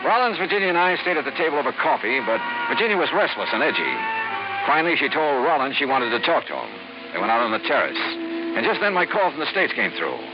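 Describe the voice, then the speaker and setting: A terse, questioning male investigator drives an old detective serial at a quick clip. The sound is muffled and vintage, with loud music behind him. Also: a little high, big pitch swings. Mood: brisk narration. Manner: terse, hard-boiled, questioning. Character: cool, probing freelance insurance investigator narrating his case. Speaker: lead actor and narrator. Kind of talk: 1950s radio detective drama acting.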